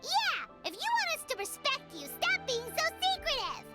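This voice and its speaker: A woman voicing a tiny, childlike female game companion, squeaky, perky and blunt. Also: big pitch swings, slow, sharp tone, full character voice. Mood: indignant. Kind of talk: cartoonish video game acting. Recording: game music behind the voice.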